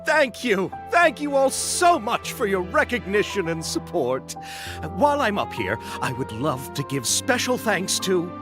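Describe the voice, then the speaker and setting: Animated, earnest film producer. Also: male voice, high-pitched, a little slow, music in the background. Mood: overjoyed. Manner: courteous, earnest. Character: polite, earnest, excitable male film producer.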